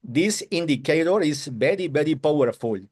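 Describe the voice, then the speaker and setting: A man from Colombia whose first language is Spanish, with a Colombian Spanish accent, giving a halting testimonial in broken English over a video call. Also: a little high, lively intonation, darkish tone, breathy edge. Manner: hesitant broken English, searching for words. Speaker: webinar attendee giving a testimonial.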